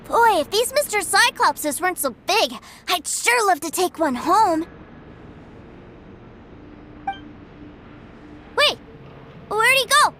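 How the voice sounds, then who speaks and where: A tiny excitable boy from a game, super high voice, unhurried, wheedling, music behind. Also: slight background noise, exaggerated voice. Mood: excited, awed. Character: eager, stubborn little boy, adoring younger brother. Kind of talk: video game voice acting.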